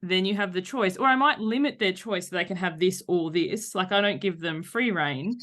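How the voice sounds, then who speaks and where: A woman's voice: lowish voice, normal speed, a bit bright. A woman hosting a podcast in casual conversation, enthusiastic and anecdotal. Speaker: podcast host.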